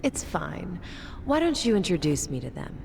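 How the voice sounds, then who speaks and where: A woman's voice: fairly low pitch, sing-song, normal speed, darkish tone, heavily acted. A woman acting a confident, flirtatious female crime boss in an English video game dub, with a poised, teasing manner. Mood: playful, poised. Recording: clear background ambient noise.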